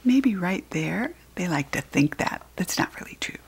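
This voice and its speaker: An American woman reading a picture book aloud to young children, expressive, with a breathy voice. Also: on the low side, normal speed. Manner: expressive, addressing small children.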